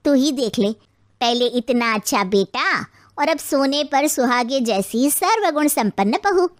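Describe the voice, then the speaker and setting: A woman's voice: high-pitched, animated, a little slow, no room sound. A woman playing a broad-minded middle-aged friend in a moral story, reasoning in a persuasive, reassuring way. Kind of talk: acted moral story dialogue.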